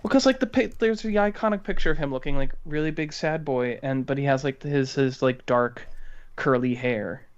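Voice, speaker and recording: A man co-hosting a podcast, discussing art casually in a halting, informal way full of filler words. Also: mid-range voice, smooth, highs cut off. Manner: informal, halting delivery with many "like"s. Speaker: podcast co-host.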